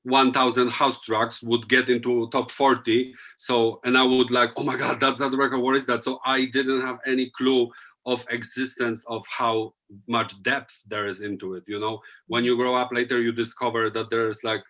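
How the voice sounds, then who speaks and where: A man's voice: medium pitch, little pitch movement, moderate pace, bright, forceful tone. Polish man rambling casually. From Poland. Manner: loose, filler-heavy, thinking aloud. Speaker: DJ guest. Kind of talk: casual interview chat.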